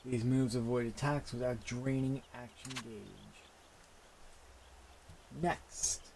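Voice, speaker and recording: A man's voice: mid-range voice, steady tone, slow, creaky, warm tone, slight background noise. A man giving casual, impatient commentary as he plays a video game, muttering and swearing. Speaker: gaming video creator.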